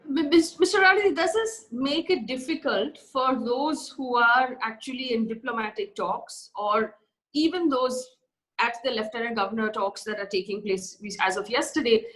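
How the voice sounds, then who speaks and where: A woman's voice: on the high side, normal speed, fairly bright tone, rough recording. A woman with an Indian accent hosting an interview, asking probing, articulate questions. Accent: Indian English. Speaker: interviewer host.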